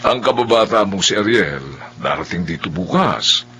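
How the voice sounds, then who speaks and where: A man's voice: sits low, expressive, moderate pace, pushed and bright, slight background noise, heavily acted. An actor playing an earnest, talkative older village father in a Filipino audio drama, with a Filipino accent. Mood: friendly.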